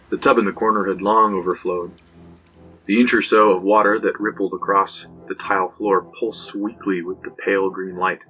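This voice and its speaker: A man's voice: sits low, sharp tone, rough recording. An actor playing a haunted young man, narrating an eerie horror audio drama in a brooding, confessional way.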